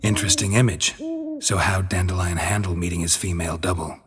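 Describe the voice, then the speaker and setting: An actor playing a gruff, world-weary monster hunter in a fantasy game, gravelly and husky, speaking in clipped, deadpan lines. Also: male voice, low voice, a little fast. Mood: dry, matter-of-fact. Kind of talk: fantasy video game dialogue.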